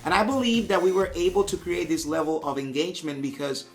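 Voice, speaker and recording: A male math teacher talks earnestly and reflectively in a slightly higher voice than most men. Soft music plays faintly beneath him. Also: fairly even intonation, quick pace. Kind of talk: interview to camera.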